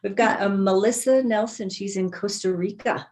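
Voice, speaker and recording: Woman steadily reading out viewer shout-outs. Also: mid-range voice, normal speed. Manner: reads names and places in a steady listing cadence. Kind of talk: casual livestream chat. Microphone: video call.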